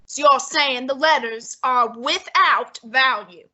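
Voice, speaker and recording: Young woman playing a fussy genius detective guy, radio play style, high bright voice, very precise. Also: exaggerated voice. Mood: reasoning. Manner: deliberate, formal and exacting. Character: formal, fussy genius male detective, middle-aged. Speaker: student actor. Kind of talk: old-time radio drama reading.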